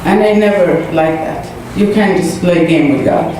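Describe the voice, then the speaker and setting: Woman in an echoey church. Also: fairly low pitch. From Saudi Arabia. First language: Arabic. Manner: earnest, halting, with fillers. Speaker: guest giving testimony. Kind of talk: personal testimony to a congregation. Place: church hall. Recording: echoey hall, distant, noisy.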